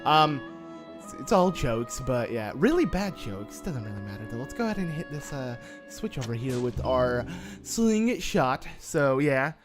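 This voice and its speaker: A young man with a slightly raspy voice giving casual, joking commentary over a video game he is playing, full of fillers and self-mocking asides. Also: mid-range voice, sing-song, measured pace. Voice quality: slightly raspy from just waking. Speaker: gameplay commentator. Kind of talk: casual let's-play commentary. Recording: game music faint underneath. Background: game music.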